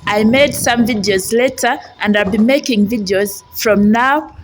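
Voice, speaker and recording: A woman's voice: fairly high pitch, a little slow. A woman from Uganda with a Ugandan accent talks to viewers in a chatty personal vlog, often repeating phrases for emphasis. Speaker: vlogger and housemaid. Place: outdoors on a residential street. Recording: noisy outdoor ambient sound. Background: outdoor ambience noise.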